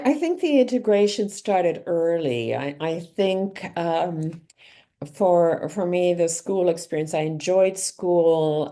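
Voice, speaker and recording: Middle-aged woman, interview guest, slow and unhurried, soft mellow tone, reflective, restarts her sentences. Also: low voice. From Canada. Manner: thoughtful, measured, frequent restarts and ums. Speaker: author and guest.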